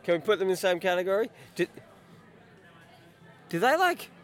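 A man's voice: sits high, sing-song, moderate pace, a bit bright. A man co-hosting a podcast interview outdoors, asking questions in a teasing, laughing way. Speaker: podcast co-host. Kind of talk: casual interview banter.

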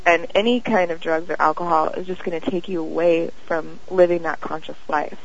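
A young woman, a guest on an interview, who talks in a dreamy, halting way full of fillers and pauses. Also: on the low side, fairly even intonation, creaky. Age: young adult. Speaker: guest, singer and actress. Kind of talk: casual interview chat. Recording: dull lo-fi audio.

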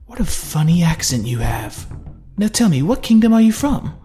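A man doing a booming old king. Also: sing-song, normal speed, warm tone, faint music behind. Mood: astonished. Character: regal old bearded male king, commanding.

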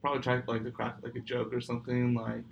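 Young cali dude, arabic speaker, rambles casually, kinda flat and gravelly, bit of noise behind. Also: male voice, medium pitch, fast talker, muffled recording. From San Francisco, United States. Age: young adult. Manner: talkative, slangy, meandering. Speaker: podcast guest. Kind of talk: casual chat. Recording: faint background noise.

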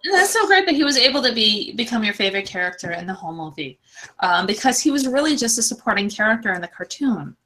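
A woman with a bright, forward voice, pitched a little high. She co-hosts a casual film chat and talks in an effusive, slangy, gushing style. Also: sing-song, fast talker, poor audio. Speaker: podcast co-host. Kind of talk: casual chat about films.

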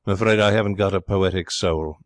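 A man voicing a sulky, wrathful young gentleman with a blunt, indignant manner in a storybook reading. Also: low-pitched, fairly even intonation, fairly quick, no room sound, close to an everyday voice.

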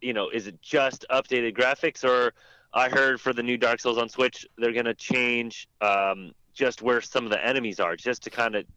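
A man chatting casually as a podcast panelist about video games, rambling and haltingly rephrasing himself. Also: mid-range voice, fairly even intonation, bright, forceful tone, clean tone, no room sound. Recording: dry, dull lo-fi audio.

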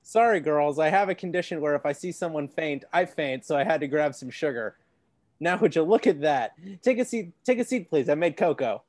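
A man's voice: a little high, expressive, brisk, a little mellow, dry recording, studio quality, close to an everyday voice. A man reading the lines of an awkward, rambling middle-aged inventor dad from a script. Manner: reading dialogue aloud from a script.

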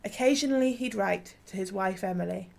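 A woman's voice: medium pitch, a little fast, gravelly. A woman reading scripted narration in a radio play.

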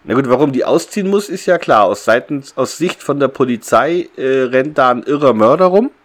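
A man's voice: mid-range voice, lively intonation, fairly quick. A man talking casually as a podcast host, discussing a story with others. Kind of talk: casual discussion of an audio drama episode.

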